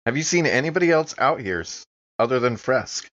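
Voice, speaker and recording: A man's voice: mid-range voice, lively intonation, a little fast, fairly bright tone, dull audio. A man playing a tabletop game, talking casually and improvising as his character.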